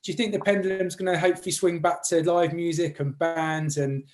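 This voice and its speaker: A man's voice: fairly high pitch, steady tone, fairly quick. A man putting a wordy, casual question as an interviewer.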